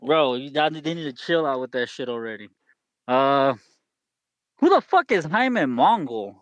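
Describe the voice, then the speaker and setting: A man's voice: mid-range voice, sing-song, measured pace, no room sound, lo-fi sound. A man calling in to a boxing show, talking in a heated, profane way and slipping into Spanish. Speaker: caller. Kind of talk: casual call-in chat.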